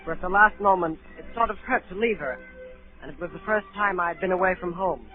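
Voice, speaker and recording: A man's voice: high voice, fast, soft background music, natural voice. A male actor playing an earnest adolescent cabin boy, telling his story wistfully in a 1930s radio drama. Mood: earnest recounting. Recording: narrow-band 1938 radio broadcast.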